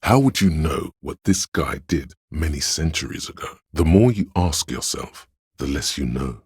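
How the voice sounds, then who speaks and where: A man voicing a wry, grandiloquent, erudite inner voice of trivia in a video game, lecturing in measured scripted narration. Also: extremely low pitch, animated, darkish tone, breathy edge, no echo, studio quality, exaggerated voice. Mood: needling.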